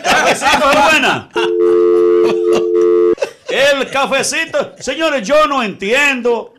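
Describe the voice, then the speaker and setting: A man's voice: very high-pitched, animated, slow. A Dominican man hosting a call-in radio show, laughing and exclaiming as he repeats his catchphrase with callers.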